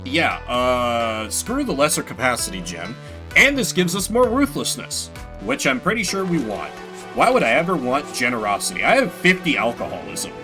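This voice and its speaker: A man's voice: mid-range voice, very animated, moderate pace, over music. A man giving casual, wry, joking commentary on a game he plays, reading its text aloud as he goes. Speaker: video game streamer.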